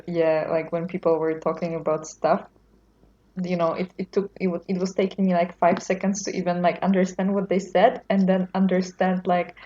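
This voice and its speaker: A woman from Europe, a podcast guest, talking casually and thoughtfully, often pausing and hedging. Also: medium pitch, darkish tone. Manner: thoughtful, hesitant, with many fillers and restarts. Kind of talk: casual conversation. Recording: dull lo-fi sound.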